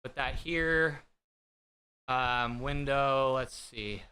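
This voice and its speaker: A man hosting a live stream, chatting casually with viewers in a bright, higher-pitched voice, rambling and self-deprecating with lots of fillers. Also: fairly even intonation, very slow, clean tone. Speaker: livestream host. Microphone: desk streaming mic through an audio mixer.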